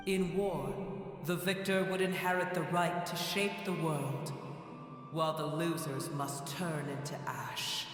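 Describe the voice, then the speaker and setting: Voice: medium pitch, steady tone, soft background music, close to an everyday voice. Brief: actor as an ancient wounded dragon, scornful and solemn, in a huge echoing cavern. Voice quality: slow, grave and solemn. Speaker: game character. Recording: heavy cavernous echo with long reverb.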